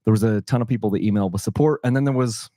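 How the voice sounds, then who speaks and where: A middle-aged man with a slightly hoarse voice, hosting a casual livestream from his house and rambling with frequent self-corrections. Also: fairly low pitch, racing, warm tone, no echo, studio quality. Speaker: channel host running a sweepstakes livestream.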